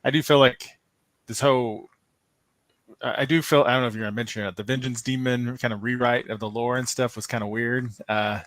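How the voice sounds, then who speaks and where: A man's voice: mid-range voice, steady tone, a little fast, a bit bright, no room sound. A man chatting casually as a fan panelist, offering informal opinions and qualifying them as he goes.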